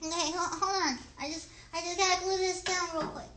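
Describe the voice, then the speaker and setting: A woman voicing an eager, friendly young girl puppet who chats in a halting, childlike way on a children's livestream show. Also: extremely high pitch, fairly even intonation, background noise. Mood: eager, reluctant. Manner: chatty, childlike, halting, greets viewers sweetly.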